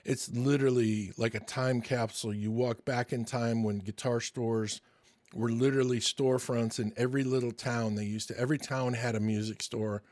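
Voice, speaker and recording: A man's voice: medium pitch, steady tone, moderate pace, a little mellow, dry recording. A man in his sixties hosting a podcast, telling stories in a chatty, enthusiastic way and interviewing a guest. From Michigan, US. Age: 60s. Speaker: podcast host. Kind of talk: casual podcast monologue and interview.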